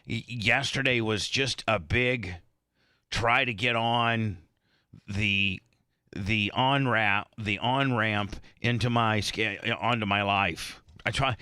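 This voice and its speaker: A man hosting a morning radio show, talking casually in halting, rambling bursts with many restarts, sounding a bit congested. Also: lowish voice, sharp tone, clear, resonant tone, no echo, clean studio sound. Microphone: studio microphone.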